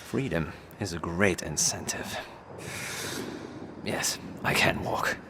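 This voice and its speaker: A man's voice: low-pitched, slow, pushed and bright, exaggerated voice. A man voicing a worn-out, grateful prisoner with a dry, understated manner in a video game cutscene. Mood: weary relief. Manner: weary, understated, dry wit. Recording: game audio with clear noise behind.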